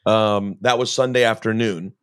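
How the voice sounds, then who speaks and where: A man's voice: on the low side, smooth, no room sound, studio quality. A middle-aged man hosting a podcast, telling a rambling story in casual chat, often cutting himself off and laughing. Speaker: podcast host.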